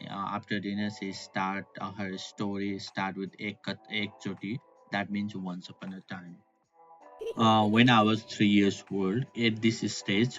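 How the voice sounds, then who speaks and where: Low-voiced man. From Nepal. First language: Nepali. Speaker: podcast guest. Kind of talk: reflective personal storytelling. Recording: dull lo-fi audio with faint background music.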